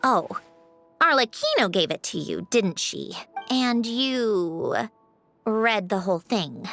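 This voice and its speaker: A woman voicing a self-assured, brisk yet reflective female automaton in an English video game. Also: mid-range voice, big pitch swings, vocal fry, fairly bright tone, soft background music, exaggerated voice. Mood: guarded. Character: female created automaton, wistful, bossy, proud, fond of puzzles.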